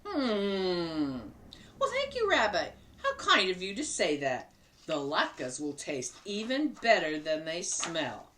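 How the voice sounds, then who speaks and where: A woman reading a storybook aloud, voicing a kindly, teasing old grandmother in a high, sing-song voice. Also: huge pitch swings, slow pace, a bit bright, far from the mic, exaggerated voice. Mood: fond.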